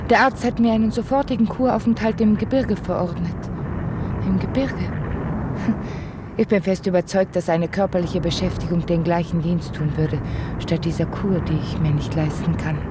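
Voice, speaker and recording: An actress playing a wistful, dreamy and fragile young woman in a German radio drama, musing intimately and haltingly. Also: mid-range voice, background noise, close to an everyday voice. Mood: wry, fragile.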